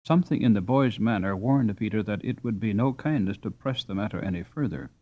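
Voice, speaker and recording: A man's voice: on the low side, a little fast, a little mellow. Man narrating a storybook expressively. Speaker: audiobook narrator.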